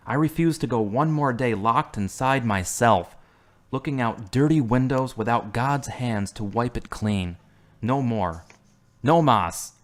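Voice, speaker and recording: A man's voice: mid-range voice, close to an everyday voice. An actor playing an earnest young man recovering from an accident, who talks sincerely and asks many questions. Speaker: hospital patient. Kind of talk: amateur web drama acting.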